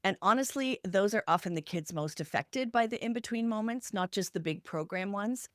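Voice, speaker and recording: A woman's voice: medium pitch, moderate pace, no room sound. A woman hosting a podcast, talking through practical examples in an earnest, instructional way. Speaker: podcast host. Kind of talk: structured explanatory talk to listeners.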